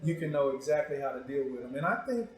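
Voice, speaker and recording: A man's voice: medium pitch, expressive, quick pace. A man hosting a casual interview show, joking and teasing in informal, slangy talk. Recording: echoey room.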